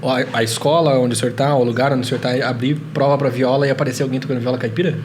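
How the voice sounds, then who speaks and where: A man's voice: medium pitch, steady tone, very fast, a little mellow, a little hiss or hum. A Brazilian man hosting a casual podcast interview with playfully formal, over-polite phrasing. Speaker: podcast host.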